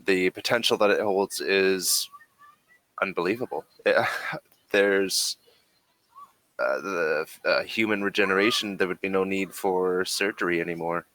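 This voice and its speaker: A man playing a secretive, persuasive corporate agent in a tabletop game, speaking in a deliberate, halting, emphatic way. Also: deep voice, fairly bright tone. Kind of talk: in-character tabletop role-play.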